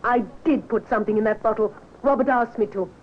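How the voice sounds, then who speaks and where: An actress playing a poised, defiant mistress of the house in an old time radio drama. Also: female voice, fairly high pitch, fast talker, natural voice. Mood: cool, defiant. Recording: tinny, noisy old radio recording.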